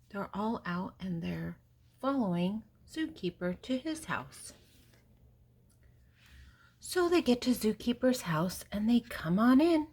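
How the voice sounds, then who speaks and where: An older woman with a husky voice reading a picture book aloud to young children, explaining gently and simply with pauses. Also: mid-range voice. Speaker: storybook reader and host.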